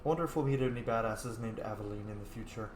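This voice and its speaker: A man's voice: lowish voice, fast, roomy sound, music in the background. A man casually commenting while playing a game, laid-back and blunt, swearing freely. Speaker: gamer playing a roleplay run.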